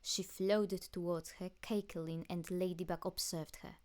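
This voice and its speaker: A woman's voice: lowish voice, steady tone, a little slow, darkish tone. A woman reading scripted fiction as an audiobook narrator.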